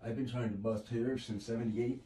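A man talks quickly in a soft-edged, mellow tone. He chats casually, reasoning aloud and hedging as he goes. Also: mid-range voice, fairly even intonation, slight background noise, poor audio. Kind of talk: casual chat with a friend.

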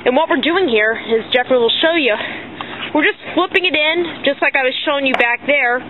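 A man's voice: very high voice, fairly quick. A teenage boy with an American accent giving casual fishing commentary outdoors on harbor docks into a handheld camera. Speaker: fishing vlogger. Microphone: handheld camera microphone. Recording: tinny phone-like audio, loud outdoor noise.

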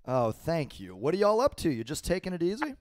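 A man in his thirties hosting a live call-in stream from a small home studio, talking loosely with lots of swearing while his voice is going hoarse. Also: a little high, huge pitch swings, brisk, slight background noise. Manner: loose, profane, laughing. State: losing his voice. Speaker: livestream host. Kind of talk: casual live call-in chat. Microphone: handheld microphone.

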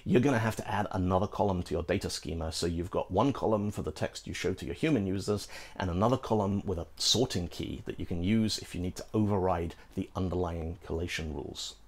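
A middle-aged British man hosting a scripted programming lesson, lively and playful, with asides to the viewer, filmed in a studio. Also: low voice, brisk. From Britain. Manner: animated, gesturing, playful asides. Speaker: programming video host. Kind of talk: scripted tech explainer. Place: studio in front of a green screen.

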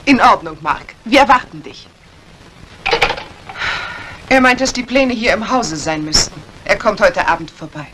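A polite young-sounding wife played by a woman, with a medium-pitched voice. She speaks in the style of an old radio mystery, over faint noise. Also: expressive, unhurried, pauses often, natural voice. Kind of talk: retro German radio crime drama acting. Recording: noisy old radio recording.

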